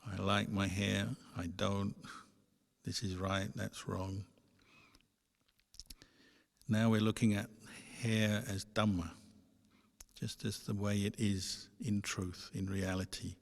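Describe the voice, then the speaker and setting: A man who is a Buddhist monk leading a guided meditation, instructing gently and in a measured way with pauses. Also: on the low side, level tone, creaky. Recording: echoey hall with long reverb.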